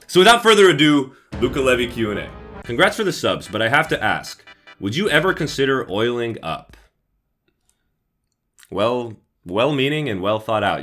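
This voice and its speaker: A young man answering viewer questions in a casual vlog, joking and deadpan about himself. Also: medium pitch. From United States. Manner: jokey, self-aggrandizing deadpan. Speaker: YouTuber.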